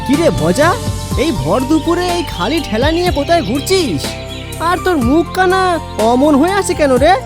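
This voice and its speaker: A woman voicing a kind male vegetable-seller friend in an animated storybook, chatty and consoling. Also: high-pitched, moderate pace. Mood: concerned. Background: background music.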